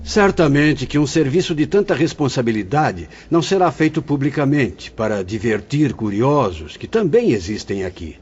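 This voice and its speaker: An actor playing a calm, fatherly spirit director who explains things solemnly, in a radio drama. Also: medium pitch, moderate pace, few pauses, slight background noise, close to an everyday voice. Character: venerable male spirit director, gentle and paternal. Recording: dull lo-fi audio.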